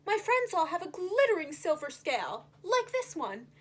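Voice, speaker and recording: Woman as little rainbow fish boy, high voice, lively swoops, earnest, polite, childlike, storybook. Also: moderate pace, full character voice.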